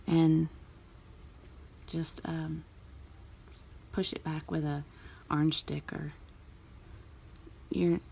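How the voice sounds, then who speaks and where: Low, gravelly-voiced woman giving nail tips. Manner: relaxed, chatty, many ums. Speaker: nail art vlogger. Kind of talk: casual tutorial talk.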